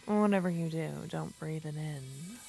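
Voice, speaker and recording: A woman voicing a seductive, possessive witch, speaking softly in half whispers with a teasing manner in an ASMR roleplay. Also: low-pitched, a little slow, gravelly, noisy background.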